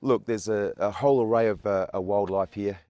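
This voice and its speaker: Fast-talking, low-voiced Australian man. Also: dark tone, dry recording, muffled recording. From Adelaide, South Australia. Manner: enthusiastic and earnest, repeats his praise. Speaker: tour guide. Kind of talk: casual interview answers. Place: outdoors on an island.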